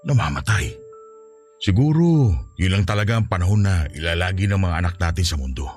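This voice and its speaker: A man playing a patient husband and grieving father, earnest and reassuring, in a radio-style drama. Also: low-pitched.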